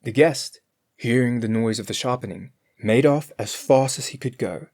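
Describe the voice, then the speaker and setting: A storyteller reading a scripted fairy tale in an expressive, measured way. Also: mid-range voice.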